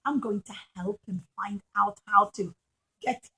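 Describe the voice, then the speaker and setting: A woman's voice: mid-range voice, a little slow, pushed and bright, breathy edge, pitched below the performer's own voice, heavily acted. A woman playing a brave, cunningly sweet young widow in a children's puppet show, dramatic and coaxing. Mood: sweetly scheming.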